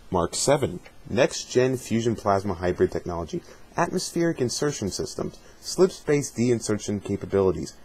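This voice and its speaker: Low, slightly husky man reading aloud. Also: a little mellow. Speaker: reader of a fan dramatic reading. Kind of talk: dramatic reading with casual asides.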